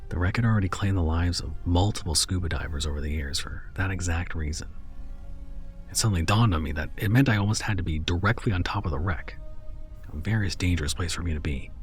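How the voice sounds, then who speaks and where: Deep-voiced male horror narrator. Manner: measured, storytelling. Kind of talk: scripted first-person horror narration. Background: soft background music.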